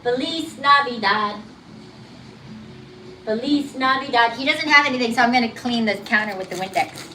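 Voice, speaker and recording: A woman's voice: a little high, moderate pace, pushed and bright, soft background music, rough recording. A woman with a New Jersey accent and a slight lisp, chatting casually on a livestream while she cooks and does dishes in her kitchen. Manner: chatty and upbeat, hums and sings along. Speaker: livestream host. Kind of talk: casual livestream chat while cooking and cleaning. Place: home kitchen with running water. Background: tap water running.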